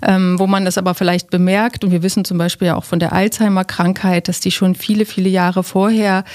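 Calm explanatory woman expert. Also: lowish voice, fairly quick. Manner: calm, explanatory, many fillers. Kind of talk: expert interview answers.

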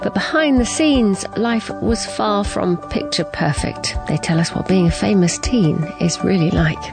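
A woman's voice: medium pitch, measured pace, creaky, over music. A woman reading scripted promotional narration for a radio programme. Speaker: programme trailer announcer.

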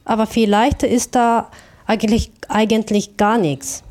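A woman from Japan with a Japanese accent, giving reflective interview answers in a thoughtful, halting way with self-corrections. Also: fairly high pitch, little pitch movement, darkish tone. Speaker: interview guest, artist.

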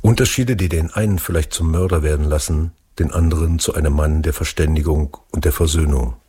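A man reading an essay aloud in a measured, deliberate way. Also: a bass voice, fairly even intonation, warm tone, husky. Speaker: reader.

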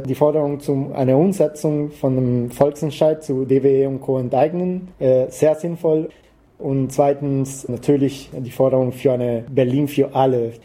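A man's voice: mid-range voice, dark tone. A man from Rica, answering interview questions in German with frequent hesitations and fillers. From Costa Rica. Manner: hesitant, frequent "äh" fillers, non-native grammar. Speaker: activist guest.

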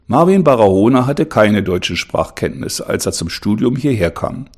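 A man narrating a radio report, reading a script calmly and evenly. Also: fairly low pitch, normal speed. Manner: calm, even reading.

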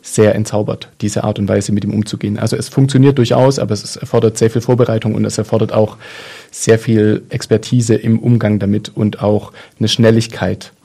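A man's voice: lowish voice, flat delivery, a little fast, gravelly, warm tone, husky. A man in a casual discussion, talking in a thoughtful, hesitant way with many fillers and restarts.

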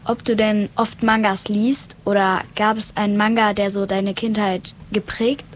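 A woman's voice: medium pitch, little pitch movement, unhurried. Tentative female interviewer, faint background noise. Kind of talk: informal prepared interview. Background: faint ambient noise.

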